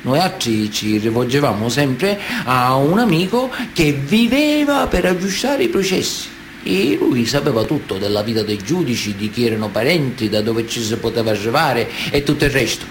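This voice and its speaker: An actor playing a proud, evasive man of honour in a radio play, with deliberate, sententious delivery. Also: medium pitch, lively intonation, a little hiss or hum, natural voice. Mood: sly, matter-of-fact. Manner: deliberate, sententious, with weighty pauses. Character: proud, evasive Sicilian mafioso, a self-styled man of honour. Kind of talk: theatrical monologue in a radio play. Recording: music under the voice. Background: music.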